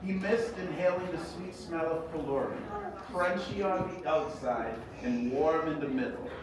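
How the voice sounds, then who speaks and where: A man reads a children's story aloud as its author, theatrically, with expressive delivery and dramatic pauses. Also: on the high side. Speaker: author reading own book. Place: echoey indoor event space. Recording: echoey room, distant mic, noisy background.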